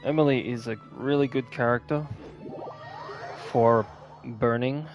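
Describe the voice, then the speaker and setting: A man hosts a gaming video with casual, unscripted commentary. He speaks slowly at an average male pitch, rambling in an offhand way. Soft game music plays faintly behind his voice. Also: steady tone, highs cut off.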